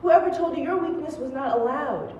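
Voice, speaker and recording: A young woman with a mellow, slightly high voice recites spoken-word poetry in emphatic, rhythmic phrases. It is an echoey stage recording. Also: brisk, recorded from a distance, muffled recording, slight background noise. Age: young adult. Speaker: student spoken-word performer. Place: stage in a large room.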